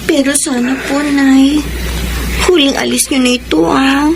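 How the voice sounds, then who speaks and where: An actress playing an affectionate young Filipino daughter in a radio drama. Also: female voice, sits high, fairly even intonation, measured pace, noisy background. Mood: pleading. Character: young daughter, affectionate, pleading with her mother. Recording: loud music under the voice.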